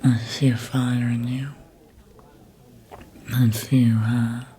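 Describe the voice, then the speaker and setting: Casting: performer to play a calculating, seductive vampire mentor, whispered ASMR roleplay, very unhurried and intimate delivery. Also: mid-range voice, level tone, dark tone, lightly acted. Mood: coaxing, admiring. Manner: slow, deliberate, intimate whispering.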